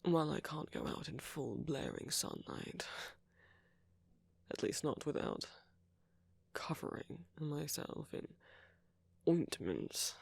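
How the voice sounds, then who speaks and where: An ancient vampire gentleman, acted by a man for an ASMR roleplay, speaks very close and dry. His voice is breathy and husky with a gravelly fry, often slipping toward a whisper. He is courteous, friendly and teasingly flirtatious, with soft hesitations. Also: a little high, huge pitch swings, slow pace, pauses often, dry recording, exaggerated voice. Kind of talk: ASMR roleplay monologue, intimate and conversational.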